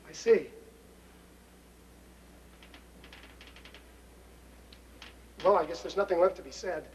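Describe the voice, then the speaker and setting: An actor playing an exasperated, sensible young newlywed husband, dry and sarcastic, in a stage comedy style. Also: male voice, sits high, quick pace, a little mellow, natural voice. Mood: snippy, resigned. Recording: roomy, distant, muffled stage recording, audience noise.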